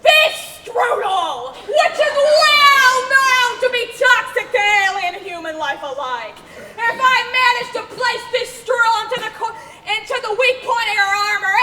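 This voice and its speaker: A woman's voice: extremely high pitch, exaggerated voice. A young woman acting a brash, blustering small-town mayor in a comic stage radio play, boastful and bombastic. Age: young adult. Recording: echoey stage with audience noise.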